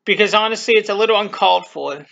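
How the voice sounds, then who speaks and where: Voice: mid-range voice, quick pace, gravelly. A casual commentator talking off the cuff about their own video, rambling, often repeating and rephrasing, with some mild swearing. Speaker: creator giving commentary on own fan video. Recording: dull lo-fi audio with music behind. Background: music and quiet cartoon soundtrack.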